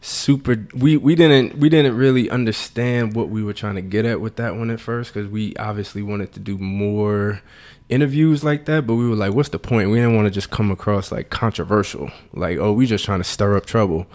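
A man's voice: lowish voice, moderate pace, a little mellow. Casual male podcast co-host. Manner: colloquial, informal.

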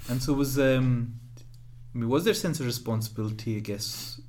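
A man's voice: mid-range voice, fairly quick, a little mellow, a little hiss or hum. Guy interviewing, mid voice, keeps rephrasing his questions. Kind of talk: casual interview.

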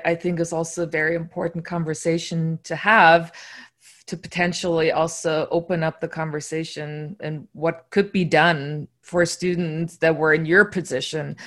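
A woman whose first language is German, hosting a podcast interview in English, speaking in a thoughtful, measured way. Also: low voice. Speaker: podcast host.

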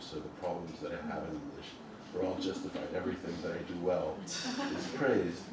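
A man whose first language is Spanish, an author talking thoughtfully about his book in a halting, self-correcting way. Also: deep voice. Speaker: author speaking at a book event. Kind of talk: reflective informal talk. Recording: echoey room from a distant mic, noisy.

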